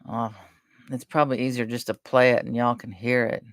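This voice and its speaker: A soft-spoken woman chatting casually and rambling between topics on a live stream. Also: a bass voice, little pitch movement, a little fast, no room sound.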